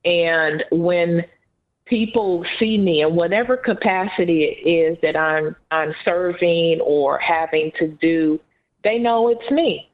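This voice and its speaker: A woman with a Southern US accent, co-hosting a podcast in an earnest, encouraging, unhurried manner. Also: fairly low pitch. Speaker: podcast co-host. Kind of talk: casual podcast chat. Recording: phone-like narrow band.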